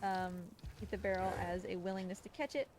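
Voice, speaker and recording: Female game streamer, medium pitch, relaxed explanatory chat with affectionate asides, noticeable noise behind. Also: a little fast. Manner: informal, explanatory, addresses game creature affectionately. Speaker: speedrunner commentating on own game run. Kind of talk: live game commentary, casual chat.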